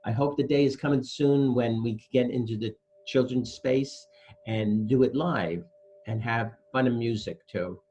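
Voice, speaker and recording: A man's voice: medium pitch, a little slow, mellow, slight background noise, rough recording. A man hosting a children's library storytime, reading a picture book expressively and speaking directly to young listeners. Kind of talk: animated children's picture-book read-aloud.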